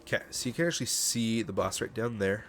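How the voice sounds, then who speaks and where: A man casually narrating a game walkthrough, relaxed and chatty with lots of ums, sounding stuffed up from a cold. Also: on the low side, quick pace, gravelly, a little hiss or hum. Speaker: gaming channel host. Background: game music.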